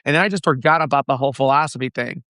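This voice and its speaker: A young man from the Dominican Republic, a founder being interviewed, talking in an animated way with frequent laughs and restarts. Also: medium pitch, very fast, no room sound, clean studio sound. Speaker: startup founder, guest. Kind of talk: casual interview chat.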